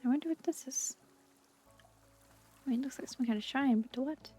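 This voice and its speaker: A woman giving casual, playful gameplay commentary as a video host, chatty and quick to exclaim. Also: high voice, warm tone, soft background music.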